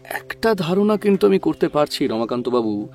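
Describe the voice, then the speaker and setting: An actor playing a composed, probing male detective in an audio drama, questioning in a deliberate way. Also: a little high, sing-song, darkish tone, faint music behind. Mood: analytical. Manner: inquisitive, deliberate.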